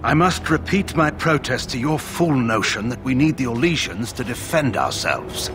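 A man's voice: medium pitch, very animated, normal speed, over music, natural voice. Man acting a stern general, swooping delivery. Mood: scornful. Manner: grave, scornful. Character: stern, proud, distrustful veteran general. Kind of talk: dramatic fantasy game acting.

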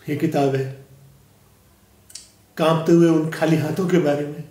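Casting: male actor as dignified, hurt elderly father, mellow highish voice, solemn film-style speech. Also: fairly even intonation, natural voice. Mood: solemn, reflective. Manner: solemn, measured, earnest, with long pauses. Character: aggrieved, dignified aging father, a humble author addressing an audience. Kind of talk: dramatic film monologue, delivered as a formal speech. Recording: echoey room.

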